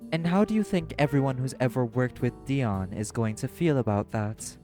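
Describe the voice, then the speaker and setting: An audio-drama socialite of the criminal underworld, acted by a man, apathetic and guarded. The voice sits at a medium male pitch and moves deliberately, in measured phrases. Music plays clearly behind it. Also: close to an everyday voice. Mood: tense, probing. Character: apathetic socialite crime-club lieutenant, guarded and questioning. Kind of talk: dramatic audio roleplay acting.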